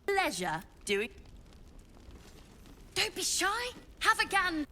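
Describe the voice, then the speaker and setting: A woman voicing an eager, cheeky tiefling child shopkeeper in a video game, excitable and full of sales patter. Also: extremely high pitch, slow pace, slight background noise, full character voice. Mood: eager sales pitch.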